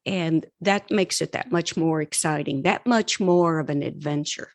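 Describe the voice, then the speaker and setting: A woman speaking as an author and mentor in an interview, earnest, deliberate and emphatic. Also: deep voice, no echo. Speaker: podcast guest, author and writing mentor. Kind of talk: conversational interview.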